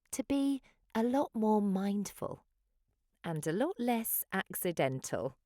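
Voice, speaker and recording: A woman's voice: fairly low pitch, warm tone, no room sound, clean studio sound. A woman reading a children's picture book aloud in a dramatic, sing-song rhyming delivery. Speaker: children's story reader.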